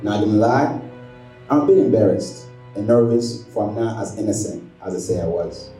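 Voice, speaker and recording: Very mellow, dark-voiced young male poet. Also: fairly low pitch, moderate pace, soft background music. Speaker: spoken word poet. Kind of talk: spoken word poetry performance. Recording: echoey room, distant microphone.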